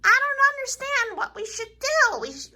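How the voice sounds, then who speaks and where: A woman voicing an excitable, earnest little girl puppet in a children's show, gushing and hesitant in a childlike way. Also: extremely high pitch, normal speed, heavily acted.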